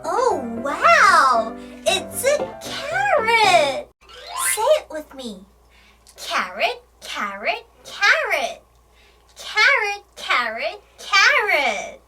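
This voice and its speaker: A woman's voice: extremely high pitch, wildly swooping pitch, very slow, music in the background. A woman hosting a playful children's English storytime, with exaggerated, sing-song delivery, repeating words for young learners.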